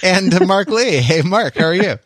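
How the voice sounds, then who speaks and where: A man's voice: high-pitched, animated, normal speed, fairly bright tone, no echo, studio quality. A man hosting a casual comedic panel podcast, talking in a wordy, mock-earnest way with stammering restarts. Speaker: podcast host.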